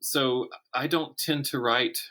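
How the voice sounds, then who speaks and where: An American man in his fifties answering interview questions conversationally, thinking aloud in a candid, animated way. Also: medium pitch, slow. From South Carolina, United States. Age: 50s. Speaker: interview guest, horror writer and teacher.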